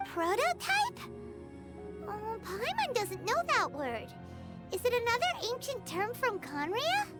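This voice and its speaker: A tiny childlike fairy companion from a video game, with an extremely high voice that swoops up and down. She is naive and exclamatory, takes her time over words, and music plays behind her. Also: huge pitch swings, slow pace, exaggerated voice. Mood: amazed. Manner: exclamatory, naive, speaks of self in third person. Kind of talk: animated game voice acting.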